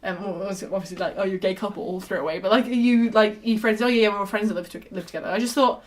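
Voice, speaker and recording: A woman chatting as a podcast guest, telling stories with lively acted-out dialogue. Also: mid-range voice, racing. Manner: animated storyteller who acts out quoted dialogue. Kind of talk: casual chat.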